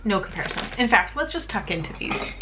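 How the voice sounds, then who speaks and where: A woman's voice: mid-range voice, sing-song, fast, noisy background. A young woman, chatting in a casual home vlog about her snacks, bubbly and self-mocking, often laughing. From Canada. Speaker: vlogger.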